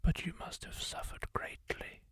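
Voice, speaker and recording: A man's voice: no echo, close to an everyday voice. A man whispering as a kindly masked healer. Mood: hushed solemn. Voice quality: soft unvoiced whisper, gravelly and husky. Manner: slow, hushed, solemn storytelling to the listener. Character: kindly masked male healer of a dark fantasy world. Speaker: ASMR roleplay performer. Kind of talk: ASMR roleplay whispered storytelling.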